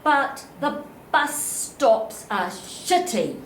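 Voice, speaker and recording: A woman's voice: high voice, very animated. Blunt older woman in an echoey hall. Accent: New Zealand. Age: 70s. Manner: blunt, indignant, slow and emphatic. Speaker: audience member asking a question. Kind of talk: question from the floor at a public meeting. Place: chapel hall. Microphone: handheld microphone. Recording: echoey hall, faint room noise.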